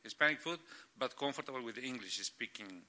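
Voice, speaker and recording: A middle-aged man whose first language is Spanish talks through his church work in halting, searching English. His voice is very bright and forceful. He stops often to find the next word. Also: mid-range voice, animated. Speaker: church planting catalyst. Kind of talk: guest sharing ministry stories.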